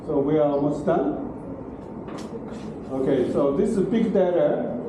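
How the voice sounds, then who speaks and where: A man's voice: a little high, animated, unhurried. A middle-aged man giving a university lecture in broken English, checking in often with "okay?" and "right?". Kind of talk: classroom lecture. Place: large classroom. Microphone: far room camera. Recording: very echoey classroom from far off, noisy.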